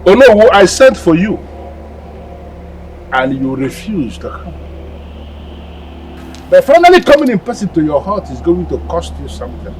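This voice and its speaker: A man with a Nigerian accent playing a proud, ruthless village king in a melodramatic film, speaking in declamatory, deliberate phrases. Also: high voice, big pitch swings, a little hiss or hum, low-quality recording. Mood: menacing. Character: proud, ruthless African village king, vengeful, later anguished. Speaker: film actor. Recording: background music under dialogue.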